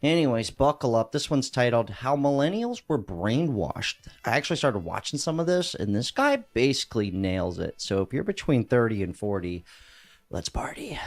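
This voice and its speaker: A man's voice: mid-range voice, sing-song, normal speed. A man in his thirties chatting casually as a video commentator, brash and slangy. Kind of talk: casual reaction chat.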